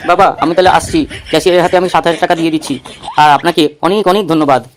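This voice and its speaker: A man acting out a naive comic cartoon buffoon, earnest and a little plaintive. He talks very fast in a slightly high voice, with faint noise behind him. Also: fairly even intonation, lightly acted. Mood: grateful. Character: gullible, hopeful bald man, comic buffoon.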